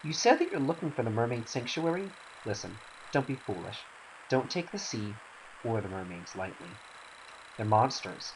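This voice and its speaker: A man's voice: mid-range voice, sing-song, normal speed. A man voicing a wary seafarer in a game scene, blunt and cautionary toward outsiders. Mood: wary, scolding. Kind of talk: dramatic reading of game cutscene dialogue. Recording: faint hiss.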